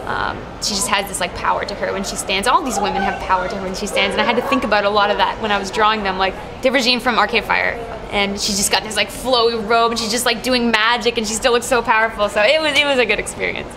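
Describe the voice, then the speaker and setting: A woman musician answering questions in a backstage interview, pausing to search for her words. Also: mid-range voice, fairly even intonation, noisy background. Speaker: musician guest.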